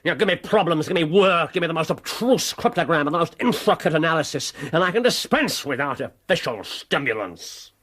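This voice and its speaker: An actor playing a brilliant, restless, disdainful Victorian gentleman detective with a British accent, hesitating and then bursting into fervour in a radio drama. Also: fairly high pitch, animated. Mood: restless, fervent. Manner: hesitant pauses broken by fervent bursts, scornful.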